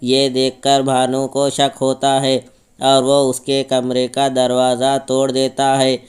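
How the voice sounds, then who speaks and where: A man's voice: medium pitch, completely flat delivery, slow, clean tone, faint music behind. A man narrating a scripted horror story as its storyteller.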